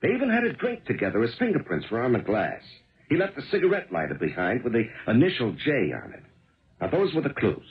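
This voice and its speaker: A slightly muffled old radio recording features a hard-working detective husband. His pitch swings very widely as he explains things in a confident, emphatic, colloquial 1970s radio drama manner. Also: huge pitch swings, quick pace. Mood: confident, explaining.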